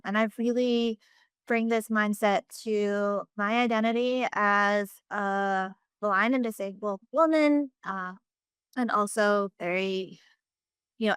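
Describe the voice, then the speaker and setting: A young adult woman from Vietnam speaking earnestly on a panel, with many ums and pauses. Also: medium pitch, fairly bright tone, smooth, no echo. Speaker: assistive technology manager, panelist. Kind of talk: panel talk.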